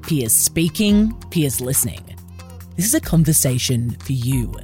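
A woman's voice: a bass voice, very animated, a little slow, dark tone, soft background music. A woman hosting a podcast, speaking in an upbeat, emphatic way straight to her listeners. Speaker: podcast host. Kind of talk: scripted podcast introduction and interview hosting.

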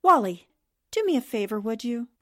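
A woman performs a self-assured newspaperwoman of 1940s radio drama. Her voice sits fairly high. She speaks in a confiding way, as one would to a trusted friend. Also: big pitch swings, normal speed.

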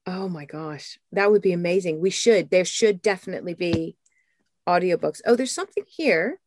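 An American woman in casual podcast chat who also reads a book aloud with animated storytelling. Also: fairly low pitch, moderate pace, a little hiss or hum.

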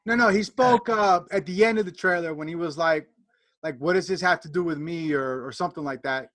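Man, forties, high-pitched voice, slangy, emphatic, casual fan chat, podcast co-host. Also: a little fast, fairly bright tone. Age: 40s. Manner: slangy, emphatic, steers the conversation.

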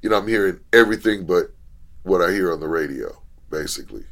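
A man with a deep voice, a trumpet player, recalling studio work in laid-back conversation. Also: fast talker, fairly bright tone. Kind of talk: casual interview recollection.

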